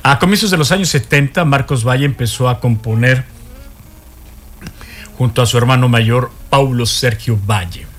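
A man hosting a radio show, giving quick affirmations and reading a prepared music segment. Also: mid-range voice. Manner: brief affirmations, reads segment script. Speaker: radio host. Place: radio studio.

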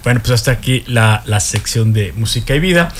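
A man hosts a radio show from the studio. He answers his guest with short agreements and reads a prepared segment. His voice sits at a middle pitch. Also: lively intonation, a little fast. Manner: brief affirmations, reads segment script. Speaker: radio host. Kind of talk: radio hosting and script reading.

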